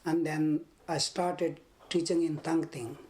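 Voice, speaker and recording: A man in his fifties with a Nepali accent recounts his own life in an interview. He talks very slowly and haltingly, measuring out each phrase. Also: on the high side, level tone, darkish tone. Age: 50s. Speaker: interviewee, army veteran.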